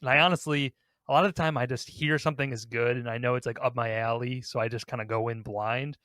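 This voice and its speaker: A man's voice: medium pitch, fairly even intonation, fast, a bit bright, no room sound, studio quality. A man co-hosting a podcast, chatting casually about video games in an informal, self-deprecating way. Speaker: podcast host.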